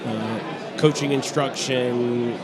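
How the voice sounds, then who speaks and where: Male podcast host, mid pitch, chatting in a packed bar with loud music and crowd chatter behind. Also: steady tone, low-quality recording. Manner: halting, many fillers and restarts. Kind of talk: casual interview chat. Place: busy bar at a convention.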